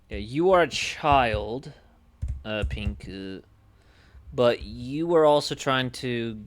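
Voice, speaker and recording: A man streaming casually, thinking aloud in a musing, hesitant way with pauses mid-thought. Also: lowish voice, clear, resonant tone.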